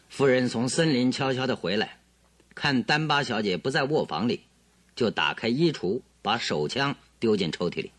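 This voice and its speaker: An assured detective with animated, dry studio delivery. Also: male voice, mid-range voice, unhurried, no echo. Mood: assured, explaining. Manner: expository, assured, theatrical. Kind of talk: Chinese radio drama acting.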